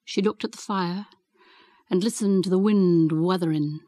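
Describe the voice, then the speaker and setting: A woman's voice: fairly low pitch, moderate pace, warm tone, no echo, studio quality. A woman reading a classic novel as scripted storybook narration for radio. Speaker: reader.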